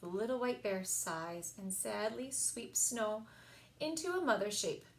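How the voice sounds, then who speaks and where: A woman reading children's stories aloud as a story time reader, in an expressive, animated storytelling style. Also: mid-range voice, unhurried. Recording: echoey room.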